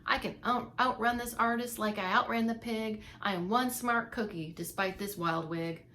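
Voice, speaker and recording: Woman playing a bright, sing-song, boastful gingerbread girl. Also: fairly high pitch, fairly even intonation, moderate pace, a little hiss or hum. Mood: gleeful, taunting. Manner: chanting, sing-song rhyming taunts. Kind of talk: children's storybook read-aloud.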